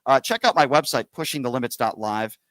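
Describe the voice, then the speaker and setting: A man's voice: mid-range voice, brisk, a bit bright, no room sound. A man hosting a political call-in radio show, combative and sarcastic, cutting across callers.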